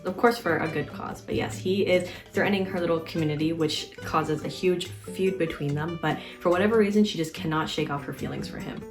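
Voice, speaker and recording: A young woman chatting casually and informally to the camera as a book vlogger. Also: low voice. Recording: roomy echo, music in the mix.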